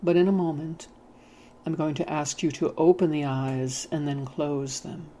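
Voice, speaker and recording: A narrator leading a hypnotic guided meditation in a soothing, measured, gently instructing manner. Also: medium pitch, flat delivery.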